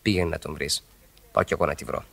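A man's voice: very deep voice, creaky, a bit bright, slightly rough, heavily acted. An actor playing a witty, ironic married man who flirts by argument in a Greek radio play, with articulate, theatrical delivery. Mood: earnest, coaxing.

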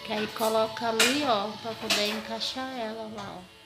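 Woman, Brazilian accent, higher voice, casual home vlog, informal explaining, clear background music. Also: fairly even intonation, normal speed, rough recording. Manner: informal, showing and explaining as they go. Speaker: home vlogger. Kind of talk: casual chat to viewers. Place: home kitchen, indoors. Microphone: handheld phone or camera, held by the speaker.

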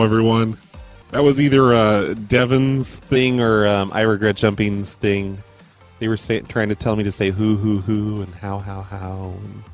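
A man doing prank phone calls as a mall Santa, in a deadpan, crude, mock-serious way. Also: on the low side. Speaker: prank call host.

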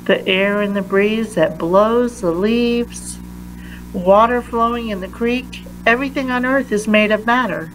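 A woman reading a children's science picture book aloud with deliberate, emphatic phrasing for young listeners. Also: on the high side, slight background noise, low-quality recording. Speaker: read-aloud reader.

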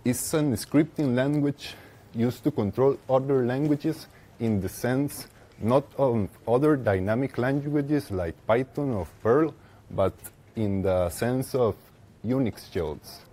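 A young man with a Costa Rican Spanish accent giving a technical presentation as a conference speaker, haltingly, with pauses mid-phrase. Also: medium pitch, warm tone. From Costa Rica. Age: young adult. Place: lecture room with seated audience, indoors.

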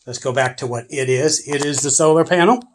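A man's voice: fairly high pitch, fairly quick, a little hiss or hum. Plainspoken man narrating an unboxing. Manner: plainspoken, thinks aloud, loose restarts. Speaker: prepper channel host. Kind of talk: casual unboxing walkthrough. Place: porch and yard, outdoors.

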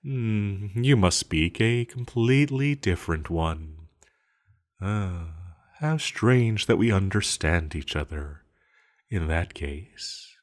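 A man playing an ancient banished sorcerer villain in an intimate audio roleplay, musing calmly and haltingly with quiet menace. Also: low-pitched. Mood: musing, curious. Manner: slow, halting, musing with sighs and hums.

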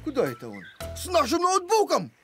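An excitable cartoon dog, eager and a little whiny. The pitch is high and jumps around freely. Music plays behind the voice. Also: male voice, fairly quick, full character voice. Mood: indignant. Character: excitable male cartoon dog hooked on games. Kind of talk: animated cartoon acting.

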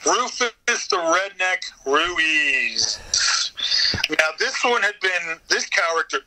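A man's voice: fairly high pitch, big pitch swings, takes it slowly, sharp tone, slight background noise, poor audio. An American man, a voice actor, talking casually as an interview guest and telling anecdotes. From United States.

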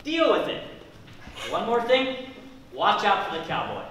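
Guy acting as snotty assistant manager, high lively voice, echoey stage far from the mic. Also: a little slow, slight background noise, heavily acted. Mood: warning. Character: jaded, dismissive male supermarket assistant manager. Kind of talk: amateur stage comedy acting. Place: theatre stage, distant camera.

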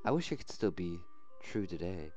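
A man voicing a curious, earnest, sweet anime girl in a high-pitched, girlish, wondering voice. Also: sing-song, normal speed, over loud music. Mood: wistful. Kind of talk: visual novel character read-aloud.

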